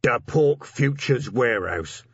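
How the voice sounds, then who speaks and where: A man voicing a surly troll gangster's messenger who mumbles slowly and sullenly in a storybook. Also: medium pitch, expressive, slightly rough, no room sound, lo-fi sound, voice pushed up. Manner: slow, sullen, ungrammatical speech. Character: surly male troll gangster messenger, losing his swagger.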